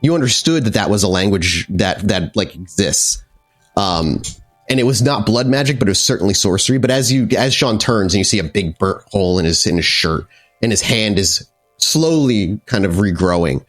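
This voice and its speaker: A man narrating a tabletop vampire game as its game master, in a dramatic, halting storytelling manner. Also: fairly low pitch, animated, fairly bright tone, soft background music.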